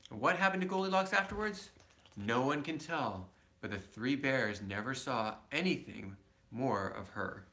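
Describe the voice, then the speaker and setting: Animated man reading a storybook aloud. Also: medium pitch, a little slow, a little hiss or hum. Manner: simple explanatory asides to a child. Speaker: father reading aloud to his child. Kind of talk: children's storybook narration with casual asides.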